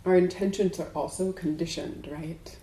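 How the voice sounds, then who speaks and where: Woman doing a buddhist dharma talk, low mellow voice, measured w pauses, roomy echo. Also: steady tone. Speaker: Buddhist meditation teacher. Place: indoors in a room. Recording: echoey room.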